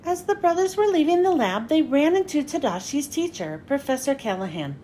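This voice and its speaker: High-voiced, animated woman reading a storybook. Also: brisk, slight background noise, poor audio. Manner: expressive, story-time delivery. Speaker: children's read-aloud host.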